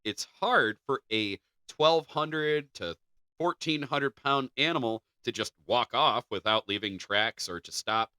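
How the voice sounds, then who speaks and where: A man's voice: mid-range voice, measured pace, sharp tone, no room sound, clean studio sound. A man co-hosting a podcast, moving between reading scripted narration and informal chat. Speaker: podcast co-host.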